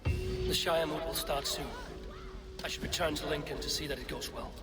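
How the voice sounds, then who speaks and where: A man's voice: on the high side, fairly even intonation, moderate pace, over loud music, natural voice. A man playing a hardy, resolute Viking warrior in a video game. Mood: curious, businesslike. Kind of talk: video game cutscene acting.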